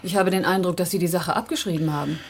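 A husky, wry coaxing lady. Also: on the low side, steady tone, quick pace. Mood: wry, skeptical. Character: wry, sympathetic woman, coaxing her male partner. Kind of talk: German radio drama acting.

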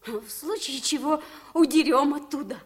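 A woman voicing an excitable, somewhat timid young boy in a 1950s radio play. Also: high voice, normal speed, close to an everyday voice. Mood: nervous. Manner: anxious, whispering at times, excitable.

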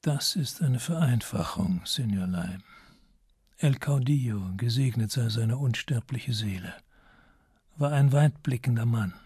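A man's voice: medium pitch, animated, a little slow, slightly rough, no room sound, studio quality, exaggerated voice. An actor playing a small, dapper older Spanish man who speaks formally and courteously while praising the old dictatorship, in a radio play. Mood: measured. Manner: formal, courteous, quietly ideological.

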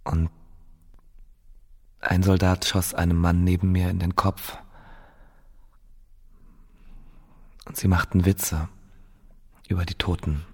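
A frightened man who survived a massacre, telling it in a radio play. The voice is low, with a breathy, rough-edged huskiness. He speaks in hushed, hesitant fragments, quietly stunned. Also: level tone, unhurried, long pauses, full character voice. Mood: horrified, resigned. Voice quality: husky, breathy. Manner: hesitant, hushed, broken phrasing. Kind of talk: scripted first-person radio play monologue.

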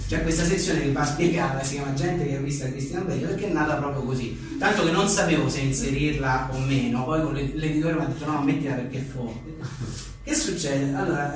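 A man being interviewed as a writer, talking casually in a rambling, self-deprecating and joking way. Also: mid-range voice. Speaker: journalist and author being interviewed. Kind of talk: casual live interview about a book. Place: echoey indoor venue at a live book talk. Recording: very echoey room, distant mic, faint noise.

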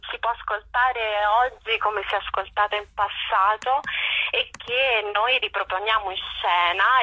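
A woman's voice: mid-range voice, pushed and bright, breathy edge, no room sound, rough recording. A woman being interviewed as a theatre director, talking spontaneously and emphatically, rephrasing as she goes. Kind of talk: spontaneous radio interview by phone.

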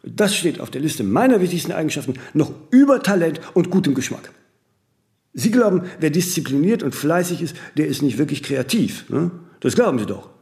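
A man's voice: a little high, sing-song, fairly quick, vocal fry, a little mellow. A man playing a vain, disciplined, eccentric celebrity in a radio play, talking in flowing, emphatic, self-correcting monologues peppered with little tag questions. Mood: opinionated, provocative. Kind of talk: radio play dialogue, conversational interview answers.

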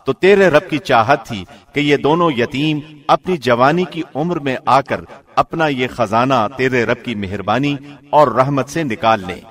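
A man reading a scripture translation in a formal, measured radio presenter's delivery. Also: medium pitch, fairly bright tone. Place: studio.

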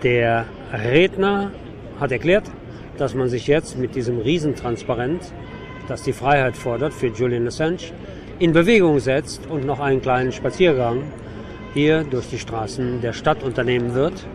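A man working as a radio street reporter, speaking off the cuff in halting, searching phrases, live from a demonstration outdoors. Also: mid-range voice. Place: outdoors on a city avenue at a demonstration. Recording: noisy outdoor street with crowd. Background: crowd and street noise.

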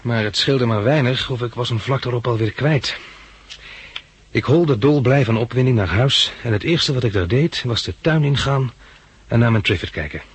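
Keen young plant enthusiast, polite storyteller, hazy husky sound, medium pitch, 1960s Dutch radio play. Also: male voice, normal speed, dry recording. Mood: reflective narrating. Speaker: lead character and narrator.

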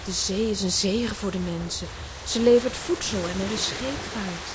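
A woman voicing a gentle young mermaid who speaks earnestly, like a storyteller, in a children's audio drama. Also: mid-range voice, normal speed, noisy background, lightly acted. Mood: solemn. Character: gentle, solemn young female mermaid, last of her kind.

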